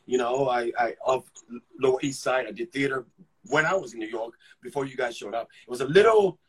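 A middle-aged man from Ghana speaks in a husky, slightly breathy voice at an everyday pitch. He is an actor reflecting on his life in a casual interview. He thinks out loud, doubling back and repeating words before he lands a point. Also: rough recording. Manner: halting, restarts and repeats words while thinking aloud. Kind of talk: casual conversational storytelling.

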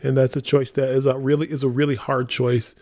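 A man with a mid-pitched voice talks very quickly in a casual podcast discussion. He sounds earnest and emphatic as he hosts for his theater company. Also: little pitch movement, darkish tone.

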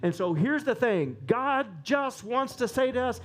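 A man's voice: extremely high pitch, a little slow. Guy giving a sermon, voice swings up and down a lot, gets emphatic. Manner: animated, rising to emphatic peaks. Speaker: preacher.

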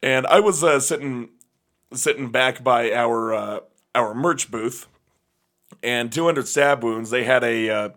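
A young man with a slightly raspy voice chatting casually as a podcast host, laughing often and rambling through fillers. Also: mid-range voice, takes it slowly, fairly bright tone. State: slightly raspy voice after a gig. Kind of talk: casual chat.

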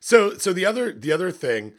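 A man with a gravelly, slightly hoarse voice, rambling and cutting himself off in a casual podcast chat. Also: high voice, big pitch swings, a little fast. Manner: rambling, self-interrupting. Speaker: podcast co-host, university academic.

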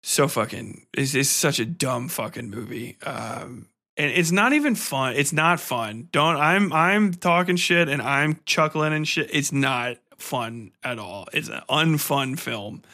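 A man's voice: mid-range voice, expressive, unhurried, sharp tone. A man hosting a solo podcast review, ranting casually with heavy swearing, slang and exasperated sarcasm. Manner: profane, irreverent, slangy. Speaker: podcast host reviewing a film.

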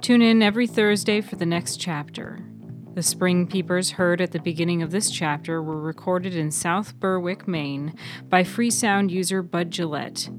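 A woman's voice: fairly low pitch, steady tone, moderate pace, music in the background. A woman reading scripted narration as the narrator of a fiction podcast.

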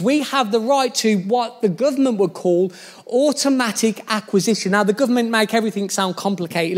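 A man preaching to his church in a chatty, joking, storytelling way. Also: high voice. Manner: chatty, jokey storytelling, addressing the church directly. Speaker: pastor. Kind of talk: sermon.

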